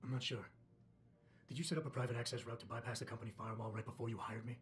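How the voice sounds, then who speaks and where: An actor playing a terse, guarded augmented security chief in a video game, with deadpan, clipped delivery. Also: male voice, mid-range voice, rapid-fire, slight background noise, lightly acted. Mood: suspicious, probing. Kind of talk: video game cutscene acting.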